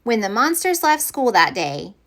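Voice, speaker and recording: A woman reading a children's picture book aloud as storyteller, with expressive, deliberate phrasing for young listeners. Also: fairly high pitch.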